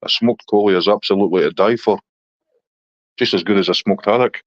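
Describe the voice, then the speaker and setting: A man's voice: fairly low pitch, brisk, no room sound, lo-fi sound. A middle-aged man from Scotland with a Scottish accent, chatting casually on a live stream and answering viewers' comments.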